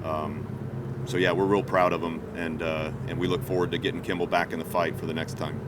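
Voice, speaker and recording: A Coast Guard captain answering reporters' questions outdoors, halting, with many fillers and restarts. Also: male voice, low voice, flat delivery. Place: outdoor press event. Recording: loud outdoor traffic noise.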